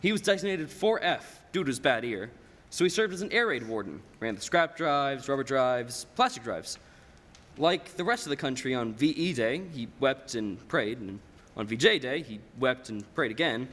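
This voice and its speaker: Young man as measured storytelling boss, echoing hall. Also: mid-range voice, animated, fairly bright tone. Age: young adult. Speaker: high school stage actor. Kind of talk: radio play storytelling dialogue. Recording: hall reverb, faint noise, live stage.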